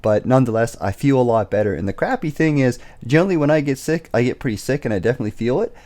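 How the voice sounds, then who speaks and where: Fast-talking raspy young man, still sick. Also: mid-range voice, animated, mellow. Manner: quick, filler-heavy talk. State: recovering from illness, slight cough. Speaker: investing YouTuber. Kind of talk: casual portfolio update. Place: bedroom, indoors. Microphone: desk microphone on boom arm.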